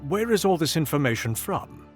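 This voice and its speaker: A man playing a composed older gentleman explorer in an audio drama, polite and dry. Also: a little high, very animated, moderate pace, faint music behind. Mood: curious.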